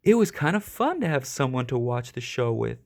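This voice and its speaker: Male narrator, medium pitch with noticeable fry, expressive read-aloud of a picture book for children. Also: moderate pace. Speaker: storybook reader.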